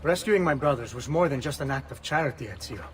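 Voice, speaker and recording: A man playing a brisk, streetwise thief in a video game. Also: mid-range voice, expressive, over music, natural voice. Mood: instructive. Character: brisk, streetwise Italian thief giving orders. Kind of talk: video game cutscene acting.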